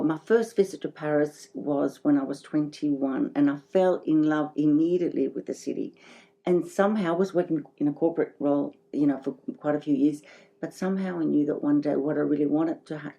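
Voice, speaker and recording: A woman's voice: low voice, fairly even intonation, fairly quick, mellow. A middle-aged woman, chatting enthusiastically as a guest in a casual interview. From Greece, living in Australia. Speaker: interview guest, boutique shop owner.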